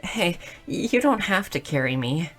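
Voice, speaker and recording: A woman's voice: lowish voice, big pitch swings, measured pace. A woman playing a shy, clumsy, sweet young goblin girl who stammers earnestly in an intimate romantic audio roleplay. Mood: flustered. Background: music.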